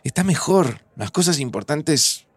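A man's voice: a little high, lively intonation, unhurried, slightly rough, no echo. An actor playing a nervous, smitten man in his forties, chatting casually and affectionately with an old friend in a Buenos Aires accent, in a fiction podcast. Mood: sincere, nervous. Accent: Argentine, Buenos Aires. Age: 40s. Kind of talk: naturalistic fiction podcast dialogue.

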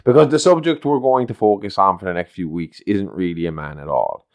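A man hosting a podcast in casual chat, joking and swearing freely, with a deep voice on a close podcast mic. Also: fairly quick, no echo. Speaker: podcast host.

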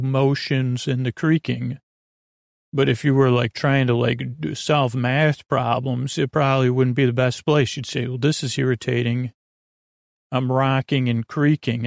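A man hosting a sleep podcast in a creaky voice, with a meandering, halting monologue full of repeats and tangents. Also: medium pitch, dry recording, studio quality. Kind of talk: rambling bedtime monologue.

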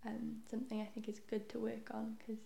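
Young woman for a guest spot: warm, slightly fried voice, casual and chatty, in a roomy space. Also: on the high side, completely flat delivery, brisk, vocal fry. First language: Welsh. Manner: chatty, self-deprecating, often trails into soft asides. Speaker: podcast guest. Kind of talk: casual chat. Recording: roomy echo.

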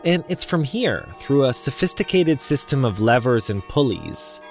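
Male reporter, scripted feature narration, animated pitch, faint music underneath. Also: normal speed. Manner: lively storytelling delivery.

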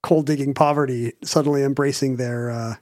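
A man's voice: mid-range voice, fairly even intonation, fairly quick, a little mellow, dry recording, studio quality. A man co-hosting a podcast in casual chat, wry and joking, often stopping to restart his sentences. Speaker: podcast co-host.

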